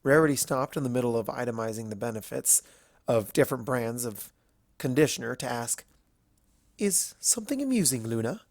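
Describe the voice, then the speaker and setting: A man's voice: medium pitch, steady tone, a little fast, vocal fry, no echo, studio quality. A man reading scripted fiction aloud as the narrator of a story.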